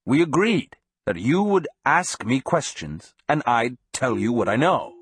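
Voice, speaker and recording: A man reading the part of a stern, blunt sorcerer teacher in a dramatized audio story, calm, direct and commanding. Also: medium pitch, unhurried, breathy edge, no echo. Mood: calm, scolding.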